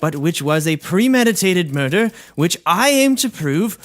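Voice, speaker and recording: Man playing an indignant, higher-pitched prosecutor. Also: sing-song, normal speed, fairly bright tone. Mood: formal, determined. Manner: formal, emphatic. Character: indignant, earnest hotshot male prosecutor. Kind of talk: scripted comedy audio drama acting.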